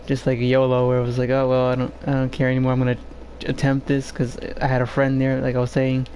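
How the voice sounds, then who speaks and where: A soft-spoken man with a low voice, chatting shyly and hesitantly with lots of fillers while streaming a game from home. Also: completely flat delivery, fast, a little hiss or hum. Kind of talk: casual gameplay stream chat. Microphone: home desk microphone.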